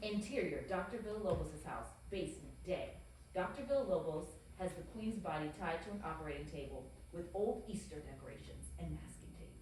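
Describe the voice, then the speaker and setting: A woman's voice: on the low side, animated, a little fast, a little mellow. Woman narrator in a very echoey room. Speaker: stage-direction narrator. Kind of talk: scripted narration at a comedy table read. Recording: very echoey room, distant mic, faint hiss.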